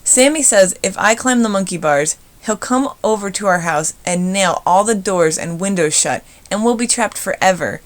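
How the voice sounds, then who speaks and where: A woman's voice: mid-range voice, expressive, normal speed, hardly any pauses, natural voice. A woman voicing a timid but imaginative little boy in a storybook, in an earnest, childlike way.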